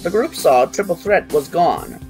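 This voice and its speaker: A man narrating a scripted fan fiction story in an expressive way. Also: a little high, a little slow, faint music behind.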